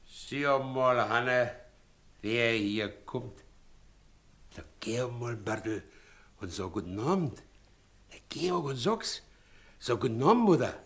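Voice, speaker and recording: A good-natured ageing carter, bright forceful voice. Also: male voice, medium pitch, lively intonation, slow pace, pauses often. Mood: hearty. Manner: blunt, homely, earnest. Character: good-natured, naive ageing carter, plain-spoken husband. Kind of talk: 1960s German radio drama acting.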